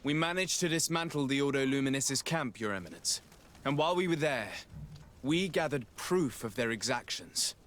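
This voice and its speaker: A man playing a composed noble diplomat and tactician in a video game, speaking formally and in a measured way. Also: mid-range voice, expressive, fairly bright tone, close to an everyday voice. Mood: courteous. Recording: outdoor ambient noise behind voice.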